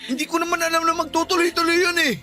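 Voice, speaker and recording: Agitated, high-pitched cheating husband. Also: male voice, level tone, quick pace, faint music behind, heavily acted. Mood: panicked, blaming. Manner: agitated, loud, accusing. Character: cheating husband, cowardly and blame-shifting. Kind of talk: radio melodrama acting.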